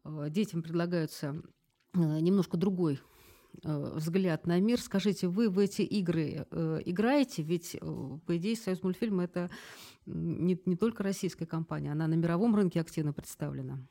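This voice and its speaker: An interviewer with a mellow, dark-toned voice speaks in a conversational way. The phrasing is measured, with pauses while forming each thought. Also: mid-range voice.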